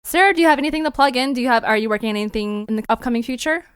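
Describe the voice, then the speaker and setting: A woman's voice: a little high, rapid-fire, smooth, dry recording, clean studio sound. A woman hosting a fiction podcast, chatting casually in a playful, informal way. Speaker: host and creator of a fiction podcast. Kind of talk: casual chat.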